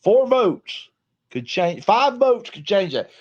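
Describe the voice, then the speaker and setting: A man's voice: high-pitched, sing-song, slow pace. A man co-hosting a casual wrestling talk show, folksy and drawn out in his delivery. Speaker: podcast co-host. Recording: dull lo-fi sound.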